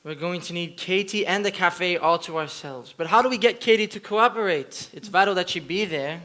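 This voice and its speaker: Young actress doing an earnest bookish mystic woman in a stage play, really bright clear-cutting voice. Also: fairly low pitch, a little fast, lightly acted. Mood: earnest, determined. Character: earnest, bookish occult mystic leading a spirit rescue. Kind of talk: school stage play acting. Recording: live school stage recording.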